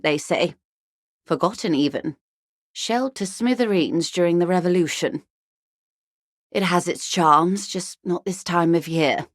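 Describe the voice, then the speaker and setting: A worldly, genteel older lady from a literary video game. Her voice sits fairly low and is captured close and dry. She speaks with composed, wry polish and a touch of courteous condescension. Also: dry recording. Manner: urbane, wry, politely condescending, articulate. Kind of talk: video game character dialogue, measured and literary.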